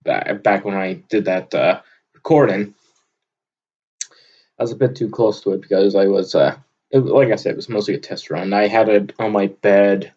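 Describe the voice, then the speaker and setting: A young man from Vermont with a loud, booming voice, talking off the cuff in an unscripted audio log, rambling with lots of filler words. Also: steady tone, normal speed, dull audio. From Vermont, USA. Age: young adult. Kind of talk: unscripted casual monologue. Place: at his desk, indoors. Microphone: computer microphone on a desk.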